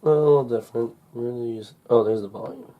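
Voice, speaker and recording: A man from the United States, recovering from a cold and slightly congested, demonstrating a gadget in a halting, rambling way, muttering to himself. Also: mid-range voice, phone-quality sound. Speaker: gadget unboxing video maker. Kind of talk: casual demonstration while handling a device. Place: indoors at a wooden desk in a room. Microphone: camcorder held or set near the desk.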